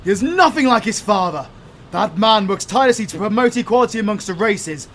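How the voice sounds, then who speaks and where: A man plays a panicked British military father in an audio roleplay. He talks in rapid, urgent bursts, with a raised, agitated pitch. He is forceful and exasperated. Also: animated, a little hiss or hum. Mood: alarmed, disgusted. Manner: urgent, rapid, exclaiming, swearing. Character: panicked, gruff military father defying a werewolf.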